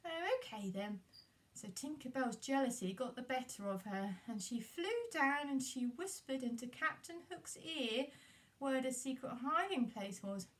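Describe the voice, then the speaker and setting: Animated woman telling a children's story. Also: high-pitched, measured pace, far from the mic. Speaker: puppeteer and storyteller.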